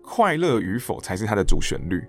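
Low-voiced Taiwanese male podcast guest, quick and animated, conversational delivery over faint music. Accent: Taiwanese Mandarin. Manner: animated, slips into mock quotes to illustrate points. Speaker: interview guest, stage director and voice actor. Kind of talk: casual podcast interview chat.

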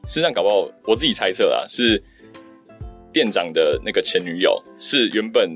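A man's voice: fairly low pitch, animated, normal speed, a bit bright. A man chatting casually as a guest in group banter, joking and rambling as he goes. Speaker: voice actor, guest on a free talk. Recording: music behind the voice. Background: music.

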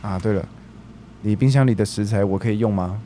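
An actor playing a caring, health-conscious man around forty in a radio drama, gentle and chatty, with Taiwanese Mandarin speech. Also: on the low side, fairly quick, a little hiss or hum, lightly acted. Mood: easygoing, encouraging.

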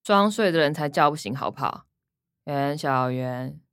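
Blunt, low-voiced elder sister. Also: female voice, animated, moderate pace, a bit bright, clean tone, no echo, clean studio sound. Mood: impatient. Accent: Taiwanese. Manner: curt, demanding. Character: blunt, impatient, suspicious elder daughter, adult. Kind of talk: radio drama acting.

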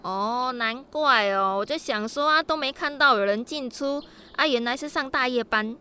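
A chatty neighbor housewife with a Taiwanese Mandarin accent in an audio drama. Her voice is bright, smooth and slightly high. She chatters along in easy gossip. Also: normal speed. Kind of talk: radio drama acting.

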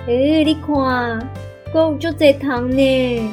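A skeptical little girl voiced by a woman in a children's radio play. She is high-pitched, slow and fussy, questioning her friend over music. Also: fairly even intonation, darkish tone. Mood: doubtful. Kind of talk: children's radio drama acting.

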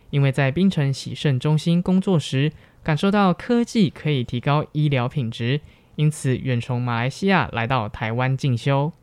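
A man's voice: on the high side, a little mellow, no room sound. A young man with a Taiwanese Mandarin accent, anchoring scripted campus radio news. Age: young adult. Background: music.

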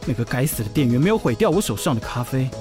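A man's voice: mid-range voice, big pitch swings, fast talker, over music, lightly acted. An actor voicing an anxious, fussy young male office intern who reassures himself, in an audio drama. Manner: anxious, precise, self-reassuring. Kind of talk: audio drama monologue and dialogue.

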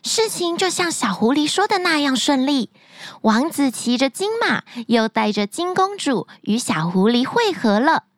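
Woman storyteller, high voice, lively melody, gentle bedtime reading, dry close recording. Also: moderate pace, no echo.

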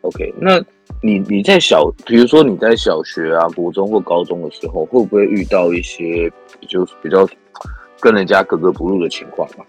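A man's voice: low voice, lively intonation, normal speed, husky, faint music behind, rough recording. A man hosting an interview, asking encouraging, leading questions. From Taiwan. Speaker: host. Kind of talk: casual interview chat.

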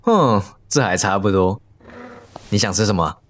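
Playful, excitable young man for audio drama. Teasing, easily flustered, swooping intonation. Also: lowish voice, a little slow. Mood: playful grumbling. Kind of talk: Mandarin audio romance drama acting.

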